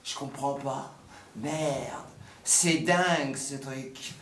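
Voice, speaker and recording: An actor playing a crude, excitable young joker with a teasing, slangy, needling manner. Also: male voice, mid-range voice, drawn-out words. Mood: stunned, agitated. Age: young adult. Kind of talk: naturalistic dramatic dialogue. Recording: very echoey, noisy, distant mic.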